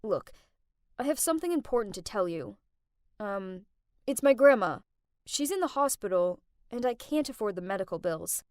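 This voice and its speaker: A gruff young man, curt and resentful, as a woman reads him out. He talks with clipped edges, and the sound is dead dry with no room around it. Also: sits high, big pitch swings, normal speed, dry recording. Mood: awkward.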